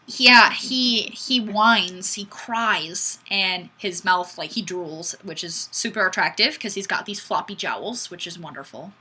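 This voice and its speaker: A woman hosting a casual live stream, chatting and reading comments in a goofy, animated, exclamatory way. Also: medium pitch, measured pace, few pauses, husky. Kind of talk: casual chat, reading viewer comments aloud.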